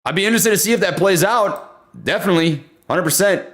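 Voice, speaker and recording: A young man hosting a casual livestream, talking loudly and excitably while reading chat. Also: high-pitched, fairly quick. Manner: loud, excitable, says he always yells. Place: home room.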